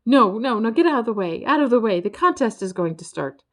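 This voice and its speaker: A woman's voice: on the high side, sing-song, brisk, voice pushed up. A woman voicing a fretful, weepy male hyena-ant creature from a children's storybook, wailing and repeating himself. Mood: agitated, bossy. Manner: wailing, sobbing, repetitive.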